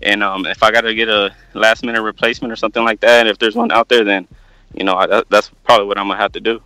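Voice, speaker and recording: Bright, forceful male fighter calling in. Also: lowish voice, flat delivery, quick pace, low-quality recording. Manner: rambling, loose with fillers. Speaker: caller, fighter. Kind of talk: casual call-in chat.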